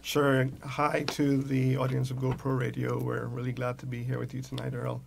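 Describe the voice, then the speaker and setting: A man's voice: mid-range voice, completely flat delivery, moderate pace, creaky, a little hiss or hum. A man in his thirties from Trinidad and Tobago, a guest on a radio show, easygoing into a studio mic. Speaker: guest, entrepreneur. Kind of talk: radio interview. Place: small padded radio studio. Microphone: studio mic with pop filter.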